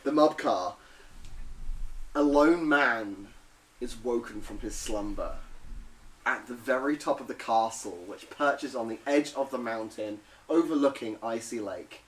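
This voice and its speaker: A man's voice: medium pitch, measured pace, poor audio. A man running a tabletop game as game master, narrating playfully and humming sound effects.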